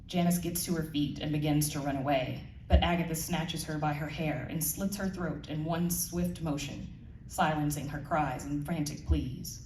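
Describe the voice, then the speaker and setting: A woman's voice: low voice, fairly even intonation, moderate pace, darkish tone. A woman giving a live scripted narration of her audio drama, heard in a roomy space away from the mic. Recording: echoey room, distant mic, faint noise.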